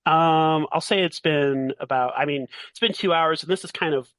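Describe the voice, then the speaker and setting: A man's voice: a little high, moderate pace, a bit bright, no echo. A man running a tabletop game as game master, narrating in a casual, playful, conversational way.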